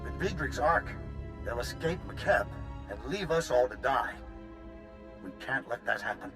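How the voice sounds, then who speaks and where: Guy as a serious rebel leader in a sci-fi game, earnest, medium pitch, music going behind him. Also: animated, unhurried, natural voice. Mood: outraged. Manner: earnest, grave. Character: grave, indignant male resistance leader. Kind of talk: scripted game acting.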